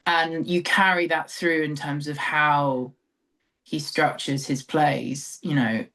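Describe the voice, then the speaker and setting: A woman's voice: low-pitched, fairly even intonation, slow pace, a bit bright. Thoughtful British woman in conversation. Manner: thoughtful, hesitant, trailing phrases with frequent hedging. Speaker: theatre director, interview guest. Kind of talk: reflective interview conversation.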